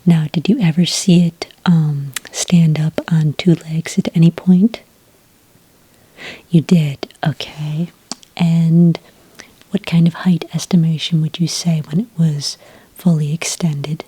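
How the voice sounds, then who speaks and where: A woman speaking in a whispery, breathy soft voice, calm and methodical, as an agent in an ASMR roleplay, close to the microphone. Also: low-pitched, no room sound. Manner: calm, methodical questioning with gentle pauses. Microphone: close microphone in a dry room.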